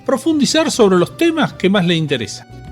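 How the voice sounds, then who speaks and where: A man's voice: high voice, sing-song, moderate pace, faint music behind. An Argentine man hosting a regional radio magazine and presenting its segments. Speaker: radio host.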